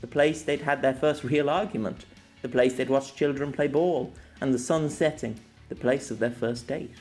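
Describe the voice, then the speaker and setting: A man narrating an audiobook in a steady, descriptive way. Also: mid-range voice, moderate pace, faint music behind.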